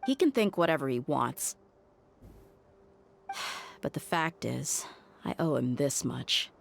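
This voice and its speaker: A woman's voice: extremely low pitch, lively intonation, takes it slowly, gravelly, slight background noise, heavily acted. An actress playing a tough, blunt female desert mercenary who speaks with confidence. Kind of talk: game voice acting.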